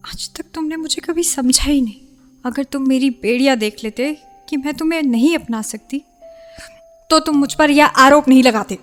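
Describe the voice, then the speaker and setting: A woman's voice: sits high, moderate pace, soft background music, close to an everyday voice. An actress playing an anguished young woman in a radio drama, pleading and defensive, torn between her lover and her parents. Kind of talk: Hindi radio play acting.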